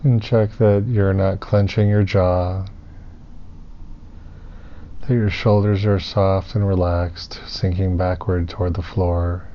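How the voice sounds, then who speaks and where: Low-voiced man guiding a meditation. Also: flat delivery. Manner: soothing, unhurried, with pauses between phrases. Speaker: meditation teacher. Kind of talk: guided meditation instruction. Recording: muffled, with clear background noise.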